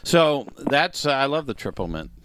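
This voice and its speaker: A man's voice: mid-range voice, very animated, moderate pace, background noise. A man hosting a live radio show, bantering jokily with his co-hosts and a guest, with frequent fillers. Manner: chatty and joking, with frequent filler words. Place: radio studio.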